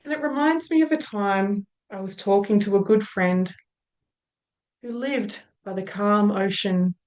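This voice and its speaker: Australian woman hypnotist, unhurried, soft trailing phrases. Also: mid-range voice. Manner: slow, soothing, drawn-out phrases that trail off softly. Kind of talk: guided hypnosis induction.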